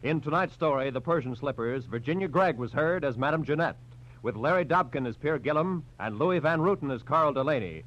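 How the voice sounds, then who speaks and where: A man's voice: fairly high pitch, lively intonation, fairly quick, a bit bright. An American man reading scripted credits as a formal 1940s radio announcer. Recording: muffled noisy vintage radio recording with hum.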